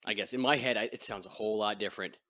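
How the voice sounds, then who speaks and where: Man, deep voice, podcast host, crude joking banter, dry close mic. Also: very fast, sharp tone, no echo. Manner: joking, crude, self-deprecating. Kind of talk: casual chat over beers.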